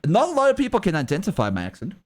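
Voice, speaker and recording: Chatty South African streamer. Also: male voice, high-pitched, huge pitch swings, rapid-fire. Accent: South African English, mixed with Australian and American. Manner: rambling, chuckling often, talks back to chat. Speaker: streamer and artist. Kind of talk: casual livestream chat.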